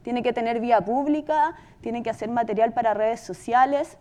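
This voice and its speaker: High-voiced Chilean woman presenting. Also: normal speed, warm tone. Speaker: podcast company presenter. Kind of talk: informal conference presentation.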